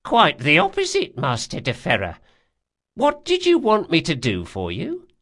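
Man voicing a sharp-tongued old woman, shrill and swooping. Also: wildly swooping pitch, measured pace, full character voice. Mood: intrigued. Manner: brusque, exclamatory, scolding. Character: sharp-tongued old female master artificer, brusque and impatient.

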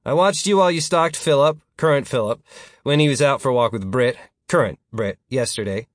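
Guy playing smug teasing buddy in an audiobook, higher voice, dry close sound. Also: brisk, dry recording, lightly acted. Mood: smugly accusing. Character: smug, teasing male friend, calmly self-righteous.

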